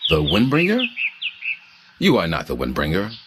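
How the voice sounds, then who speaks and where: A deep-voiced stern guard. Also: male voice, wildly swooping pitch, normal speed. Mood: solemn. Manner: formal, commanding, solemn. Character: stern male guard of a sacred teller. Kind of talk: video game dialogue. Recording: noisy game audio.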